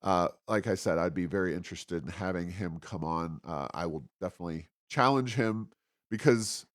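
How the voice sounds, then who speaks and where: A man's voice: low voice, moderate pace, no room sound, studio quality. A man hosting a talk podcast, giving unscripted political and economic commentary in a discursive, argumentative manner.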